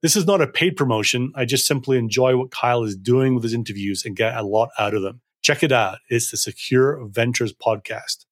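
A man from Scotland, hosting a casual podcast interview with brisk, upbeat questions. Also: medium pitch. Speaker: podcast host.

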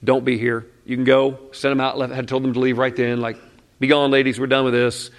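Animated storytelling man, casual and direct. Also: mid-range voice, fairly quick. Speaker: youth pastor telling a story. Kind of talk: storytelling sermon to an audience.

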